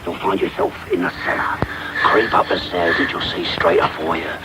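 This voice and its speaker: An actor playing a brutal, gruff burglar who threatens and commands, in a radio drama. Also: male voice, low voice, moderate pace, close to an everyday voice. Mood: menacing. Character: brutal, menacing adult male London burglar. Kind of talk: 1990s radio drama acting. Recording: very noisy medium-wave radio recording.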